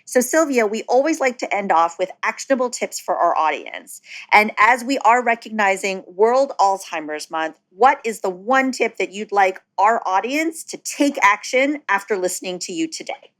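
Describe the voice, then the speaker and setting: Woman, podcast host, mid pitch, friendly, playful. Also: expressive, moderate pace, a bit bright. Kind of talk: casual podcast interview.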